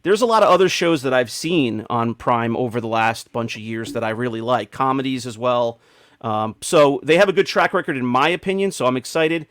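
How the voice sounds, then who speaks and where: A man's voice: mid-range voice, a little fast, a bit bright, no echo. A middle-aged man hosts a casual group discussion in an everyday mid-range voice. The sound is dry and close, with very little room to it. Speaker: podcast host. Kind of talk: casual panel chat.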